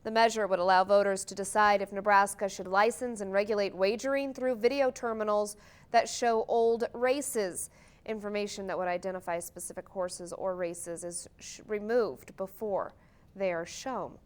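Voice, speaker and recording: A woman's voice: medium pitch, steady tone, normal speed, clear, resonant tone. An American woman anchoring a morning newscast, reading scripted news in a TV studio.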